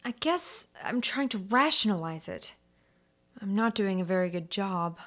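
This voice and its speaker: A woman's voice: mid-range voice, expressive, unhurried, a bit bright. A woman playing a frightened, jittery wife in a radio horror drama, anxious and full of doubt. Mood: hushed worry.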